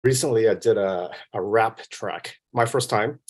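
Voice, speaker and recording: A man from Vancouver with a Canadian accent, chatting casually as an interview guest in a modest, self-deprecating, halting way. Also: fairly low pitch, a little mellow. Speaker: interview guest, film composer.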